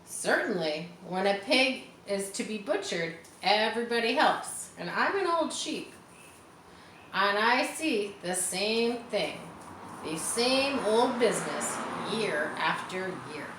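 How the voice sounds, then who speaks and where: A woman's voice: mid-range voice, animated, slow, a bit bright, reverberant, slight background noise, low-quality recording. A woman voicing a grim, gossipy old female sheep from a storybook, with a matter-of-fact, snappish manner. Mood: blunt, grim.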